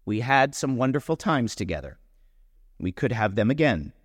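A man's voice: medium pitch, sing-song, moderate pace, clean studio sound. A pompous, wounded young man performed by a male story reader declaims a letter with indignant oratory. His mid-range voice carries through a hall with long reverb, in the manner of a staged storybook reading.

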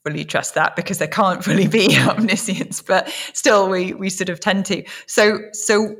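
A woman's voice: mid-range voice, normal speed, a bit bright. A woman hosting a conversational literary podcast interview, phrasing her questions in a tentative, reflective way.

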